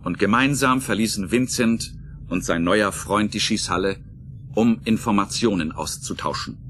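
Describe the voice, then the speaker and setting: A man's voice: lowish voice, moderate pace, fairly bright tone, a little hiss or hum. A man narrating a science fiction radio play as scripted, dramatic storytelling. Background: music under the voice.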